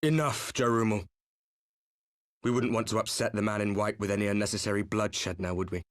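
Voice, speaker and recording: A man playing a grim, resolute fallen hero in a video game cutscene, speaking in a measured, solemn, theatrical way. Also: on the low side, steady tone, dry recording, studio quality, lightly acted. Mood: stern, weary. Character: grim male warrior, fallen hero from a doomed world, resolute.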